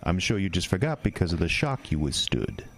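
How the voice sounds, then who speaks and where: A man's voice: sits low, sing-song, fairly quick, gravelly, fairly bright tone, slight background noise, heavily acted. An actor playing a sly, menacing stranger who corners a boy in the woods at night, with teasing, old-fashioned phrasing. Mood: sly menace. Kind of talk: horror audio drama.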